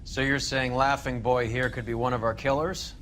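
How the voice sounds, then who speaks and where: An actor playing a cynical, gruff male detective in a video game, talking in a sardonic, dismissive way. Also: medium pitch, flat delivery, normal speed, fairly bright tone, slight background noise, natural voice.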